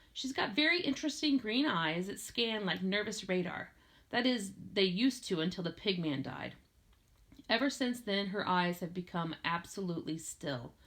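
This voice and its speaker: A woman's voice: medium pitch, fairly bright tone. Woman reading a novel aloud. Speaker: audiobook reader. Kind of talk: expressive read-aloud narration of a first-person novel.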